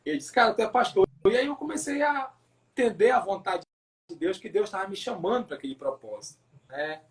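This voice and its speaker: Guy from pará w northern brazil accent, kinda high voice, pastor telling his story on a podcast, repeats himself a lot. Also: lively intonation. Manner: halting, repeats words, frequent tag questions. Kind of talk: personal testimony in casual interview.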